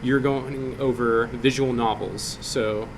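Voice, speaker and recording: A man's voice: medium pitch, slow pace. A man speaking casually as the host of a meetup, introducing things informally. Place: indoor meeting room. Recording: noisy live room, steady hum behind.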